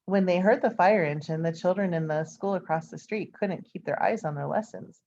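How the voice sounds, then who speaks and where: A woman reads a children's picture book aloud in a fairly low, very mellow voice. She tells it expressively, with informal asides. The recording is slightly dull and lo-fi. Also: moderate pace. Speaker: storybook reader. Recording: dull, lo-fi sound.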